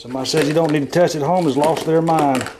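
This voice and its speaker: A man with a hoarse voice from bronchitis, casually explaining camp food at home in a rambling, chatty way. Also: a little high, fairly even intonation, quick pace, noisy background. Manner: rambling, chatty, self-correcting. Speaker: camping vlogger. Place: at home, indoors.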